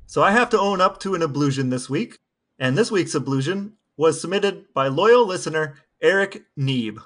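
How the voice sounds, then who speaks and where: A man's voice: a little high, lively intonation, moderate pace, fairly bright tone. A man co-hosting a podcast, with dry, matter-of-fact humor in casual banter and read-aloud passages. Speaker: podcast co-host. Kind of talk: casual banter, partly reading texts aloud.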